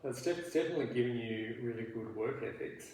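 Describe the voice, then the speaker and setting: A man acting as a therapist in a counselling role play, asking questions gently and haltingly, full of restarts and "you know" fillers. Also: mid-range voice, expressive. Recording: very echoey room, faint background noise.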